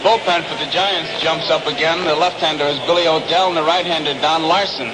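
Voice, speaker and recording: A man's voice: a little high, fairly even intonation, a little fast, very noisy. An American man giving chatty, conversational live baseball analysis on an old 1960s radio broadcast. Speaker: baseball color commentator. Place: open-air ballpark broadcast position.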